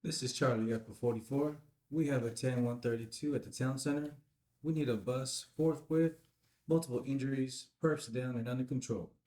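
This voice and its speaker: A terse police officer in a film drama , played by a man at a table read. His voice sits at a medium pitch. He talks in a clipped, businesslike way. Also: moderate pace, dark tone, lightly acted.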